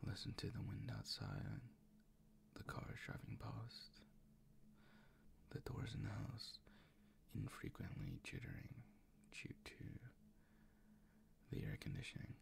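A man whispers tenderly in an intimate boyfriend roleplay, close to the mic. His voice is lowish and very gravelly, full of fry. He speaks slowly and soothingly, gently coaxing the listener. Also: wildly swooping pitch. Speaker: ASMR roleplay performer as a comforting boyfriend. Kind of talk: improvised boyfriend ASMR roleplay. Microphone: close ASMR microphone.

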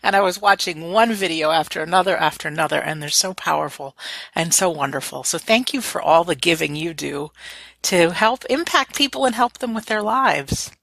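A woman hosts an interview with enthusiastic energy and praise. Her voice is bright and tense, with a gravelly fry. The sound is dry and close. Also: on the low side, normal speed, dry recording. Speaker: radio show host. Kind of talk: interview hosting.

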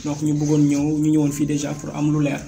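A man interviewing, posing questions in a hesitant way with many pauses. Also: a little high, monotone, vocal fry, music in the background.

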